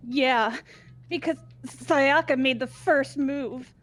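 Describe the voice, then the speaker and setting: A woman acting a timid, guilt-ridden high school student who speaks hesitantly in an amateur game dub. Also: slow, a bit bright, faint music behind. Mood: subdued. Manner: hesitant, repeating words.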